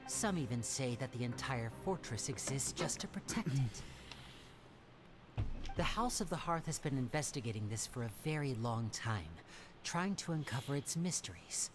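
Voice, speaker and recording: A woman voicing a suave, playful teenage boy magician in a video game, speaking smoothly and theatrically. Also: very deep voice. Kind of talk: video game character acting. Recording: game music behind the voice.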